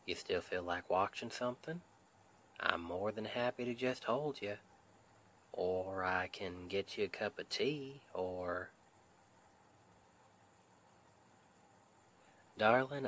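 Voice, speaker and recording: A man playing a tender, reassuring Southern husband in an intimate ASMR roleplay, with a Southern US accent and a soothing, gentle, often half-whispered delivery. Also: lowish voice, a little slow, slight background noise. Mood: cozy, affectionate. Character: tender, reassuring Southern husband comforting his stressed wife.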